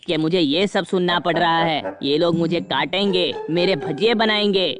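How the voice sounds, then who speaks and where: A man's voice: a little high, normal speed, a crowd in the background, natural voice. An actor playing an anxious, impatient cartoon father, pleading and exasperated, as a man. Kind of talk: Hindi comedy cartoon dialogue. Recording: music behind the voice.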